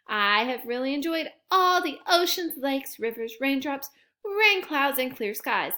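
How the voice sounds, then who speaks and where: Storybook crayon, voiced by a woman, small, weary, affectionate, pleading, high expressive voice. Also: full character voice. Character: worn-down, short stubby blue crayon, affectionate but tired. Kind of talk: children's storybook read-aloud.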